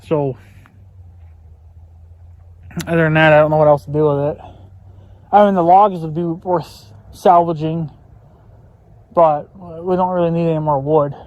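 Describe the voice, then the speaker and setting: A man's voice: on the high side, fairly even intonation, moderate pace, no room sound, highs cut off. An American man talking in a rambling, casual vlog style, sounding resigned and grumbling, with some swearing. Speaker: vlogger.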